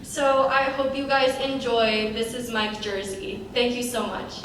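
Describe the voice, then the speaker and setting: A woman's voice: on the high side, flat delivery, unhurried. A young woman introducing a cast to an audience at a live table read event. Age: young adult. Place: large echoey room, live event. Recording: noisy, very echoey hall, distant mic.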